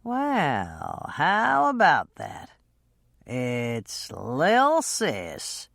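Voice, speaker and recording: A woman reading an audiobook and voicing a drunk, brooding man who slurs his words slowly. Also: lowish voice, sing-song, fairly bright tone, dry recording, studio quality, exaggerated voice. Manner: slow, slurred drunken drawl. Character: drunk, brooding adult man, an actor in a scene.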